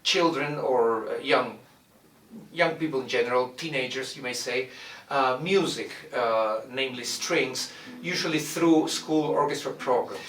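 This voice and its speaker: A middle-aged man from Argentina, a violin professor introducing his studio in a measured way, with many "uh" pauses as he searches for words. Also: mid-range voice, a bit bright, recorded from a distance. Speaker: violin professor and orchestra director. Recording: roomy echo, mic set back.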